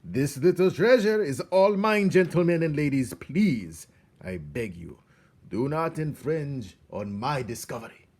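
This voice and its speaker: A man voicing a gloating, courtly rat villain in a storybook, with flowery, mock-polite, drawn-out phrasing. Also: on the high side, lively intonation, darkish tone, pitched above the performer's own voice. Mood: possessive, grand.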